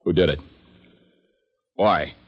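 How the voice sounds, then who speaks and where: An actor playing a guarded, hard-boiled air-freight man, gruff and slangy, in an old-time radio drama. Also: deep voice, takes it slowly, exaggerated voice. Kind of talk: 1940s-50s American radio drama acting. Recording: dull, noisy old radio recording with clicks.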